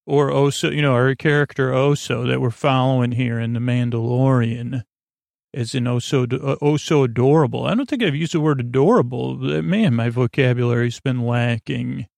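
Male sleep-podcast host, creaky fry, rambling and halting delivery, mid-pitched, dry booth sound. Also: darkish tone, no room sound. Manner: meandering, halting, full of restarts and tangents. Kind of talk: rambling late-night monologue.

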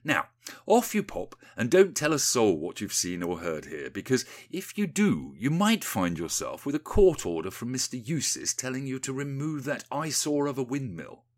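Man doing a pompous, creepy posh politician, swooping pitch. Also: very animated, normal speed. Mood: smug, menacing. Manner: pompous, drawling, snarling. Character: creepy, condescending upper-class male politician and undertaker. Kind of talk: satirical children's storybook parody.

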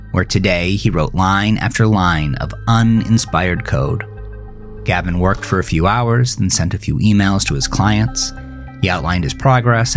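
A man reading scripted narration of a horror thriller story in a measured, dramatic storytelling manner. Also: sits low, gravelly.

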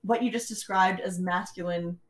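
A woman's voice: lowish voice, steady tone, normal speed, crowd noise. A woman co-hosting a podcast in casual chat, self-mocking and emotive, laughing often. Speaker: podcast co-host.

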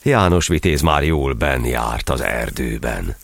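A man narrating a verse audio drama as a dramatic storyteller, theatrical and expressive. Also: a bass voice, creaky, dry recording. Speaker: narrator and actor.

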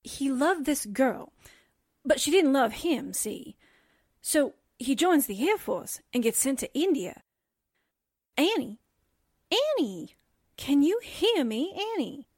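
A woman's voice: sits high, sing-song, slow, long pauses, darkish tone, no echo, clean studio sound, full character voice. A woman playing a chatty, dramatic young newspaper assistant in a radio drama, with a folksy, gossipy way of telling stories. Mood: chatty, insistent. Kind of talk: radio drama comedy acting.

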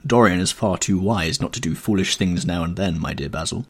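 A man voicing a languid, witty aristocratic gentleman, with a drawling manner, in a storybook reading. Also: low voice, a little fast, slightly rough.

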